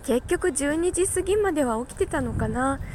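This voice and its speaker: A woman chatting casually on her own like a morning podcast host, talkative and quick to laugh. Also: high voice, lively intonation, noisy background. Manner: chatty, laughs easily while talking. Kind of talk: casual solo morning chat.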